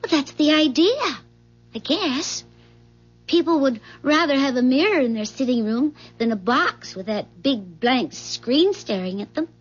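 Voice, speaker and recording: High, flustered, husky housewife. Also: female voice, animated, unhurried, soft background music. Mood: evasive, hesitant. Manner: fluttery, hesitant, self-correcting. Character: flustered, polite housewife, inventor's evasive wife. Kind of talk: 1970s radio drama acting. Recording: dull vintage 1970s radio recording.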